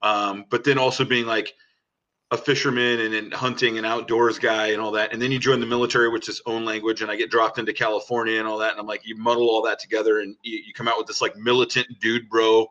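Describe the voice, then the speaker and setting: A man from Washington state hosting a relaxed podcast chat, with a bright, forceful voice. He keeps it loose and easygoing, tossing in dude and bro. The sound is a bit dull and lo-fi. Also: on the low side, fast. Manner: laid-back, says dude and bro. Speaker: podcast host. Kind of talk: casual chat.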